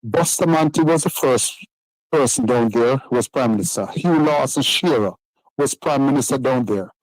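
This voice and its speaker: A man's voice: medium pitch, a little mellow, no room sound, poor audio. Indignant Jamaican male caller. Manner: indignant, lists grievances. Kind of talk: call-in complaint.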